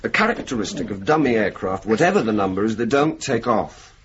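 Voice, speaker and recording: Man acting a deep-voiced, cynical young RAF officer. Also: expressive, brisk, lightly acted. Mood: exasperated. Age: young adult. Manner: dry, sardonic, sweary, decisive. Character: blunt, cynical young male RAF officer, wryly decisive. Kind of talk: radio drama acting, dialogue and narration. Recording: muffled, noisy old radio broadcast recording.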